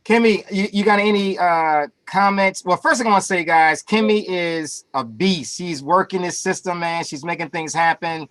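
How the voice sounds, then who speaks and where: A man's voice: high-pitched, moderate pace, few pauses, a bit bright, no room sound. A man hosting a webinar over a video call, upbeat and hypey, repeating his phrases. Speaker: call host.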